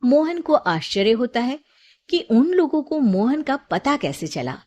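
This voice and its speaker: A woman's voice: high-pitched, sing-song, measured pace, a little mellow. Woman narrating a moral story.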